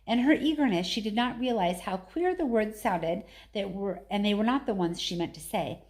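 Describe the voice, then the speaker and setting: A woman's voice: medium pitch, animated, normal speed, darkish tone. Woman reading a children's novel aloud. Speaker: story reader. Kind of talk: expressive read-aloud storybook narration.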